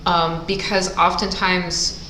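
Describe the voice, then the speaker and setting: A woman's voice: fairly low pitch, level tone, slow, sharp tone. A woman hosting an interview, friendly and effusive in her introductions. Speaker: interview host. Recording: echoey room with faint noise.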